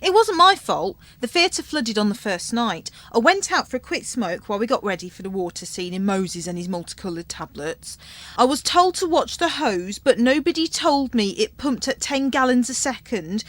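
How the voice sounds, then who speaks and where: A woman's voice: a little high, big pitch swings, moderate pace, a bit bright, dry recording. A woman playing a chatty, self-pitying check-in girl who longs for showbiz, in a radio comedy. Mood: aggrieved.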